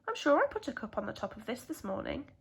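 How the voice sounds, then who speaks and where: A woman voicing a kindly, good-natured male park keeper in a children's storybook, with a gentle, genial manner. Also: fairly high pitch, big pitch swings, fast, vocal fry, husky, full character voice. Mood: puzzled musing.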